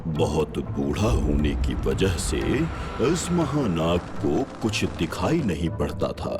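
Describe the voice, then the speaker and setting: Kindly old cartoon animal mentor, very low lilting voice, storyteller's patience, music underneath. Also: male voice, full character voice. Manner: slow, patient, storyteller-like delivery. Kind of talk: animated children's cartoon dub.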